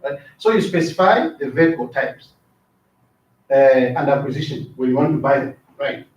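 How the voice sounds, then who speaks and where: A man's voice: mid-range voice, animated, moderate pace. Man lecturing in an echoey room. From Zambia. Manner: asks the class questions, repeats words, drops in "right?" often. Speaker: trainer. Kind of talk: classroom lecture. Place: training classroom. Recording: echoey room, distant mic, dull sound.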